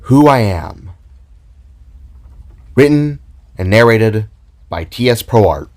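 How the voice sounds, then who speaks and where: A man narrating a scripted story in a dramatic, deliberate and theatrical way. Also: lowish voice, lively intonation. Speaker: narrator and author.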